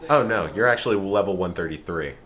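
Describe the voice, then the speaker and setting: A man's voice: deep voice, fast talker, crowd noise. A man giving casual, chatty commentary as he guides a friend through a game, with game music behind. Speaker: let's play co-commentator guiding the game.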